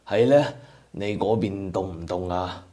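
A man's voice: low-pitched, measured pace, warm tone. A man with a Cantonese accent playing a caring, anxious older father in a radio drama. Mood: caring, fussing. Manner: fussing, coaxing.

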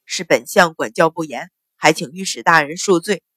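A woman's voice: low voice, moderate pace, a bit bright, breathy edge, no room sound, pitched below the performer's own voice. Lady doing a furious official dude, bellowing orders, dry sound. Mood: stern. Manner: bellowing, commanding. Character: stern, enraged male chief minister.

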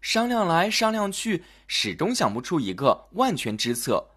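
A storyteller narrating a scripted fairy tale for children in an expressive, dramatic manner. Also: mid-range voice, moderate pace, husky.

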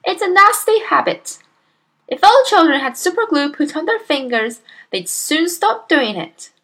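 A woman's voice: sits high, very animated, a little slow, fairly bright tone, pitched above the performer's own voice, exaggerated voice. A woman voicing a bossy, scornful wife and mother in a storybook, scolding and nagging. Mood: disapproving.

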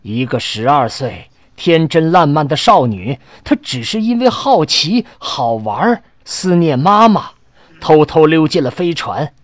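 A man plays an impassioned male official in a radio play, rather high in pitch with big swings of intonation. He is emphatic and challenging, recorded close and dry. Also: very animated, measured pace, dry recording. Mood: indignant.

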